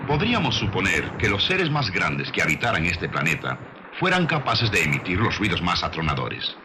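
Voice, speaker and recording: Male documentary narrator. Low register, expressive melodic delivery, measured and didactic. Scripted science read with clear background noise.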